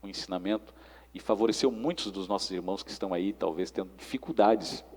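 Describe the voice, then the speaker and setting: A Brazilian man with a husky, creaky voice talks like a preacher in conversation. He instructs and exhorts, often checking for agreement. His voice trails off in long reverb. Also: deep voice, fast talker, a little mellow. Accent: Brazilian Portuguese.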